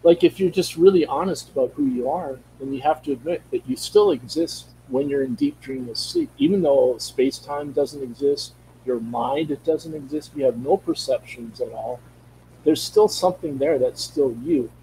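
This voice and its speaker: A man's voice: a little high, sing-song, normal speed, warm tone, slight background noise, poor audio. Rambling Minnesota man on a video call. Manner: rambling, searching for words, many restarts. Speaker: guest. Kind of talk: casual conversation. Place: home room. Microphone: studio mic on video call.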